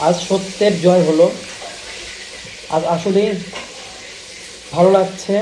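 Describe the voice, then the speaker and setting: A man with a Bangladeshi accent giving a heartfelt, devout reaction in short, halting phrases. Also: high voice, fairly even intonation, dark tone, echoey room, a little hiss or hum, rough recording.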